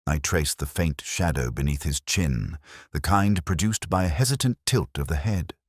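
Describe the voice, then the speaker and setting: Guy playing a brooding english detective telling his case, super deep voice, solemn n measured, dry studio sound. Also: expressive, husky, dry recording, exaggerated voice. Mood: pensive, wry. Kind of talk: scripted first-person mystery narration, literary.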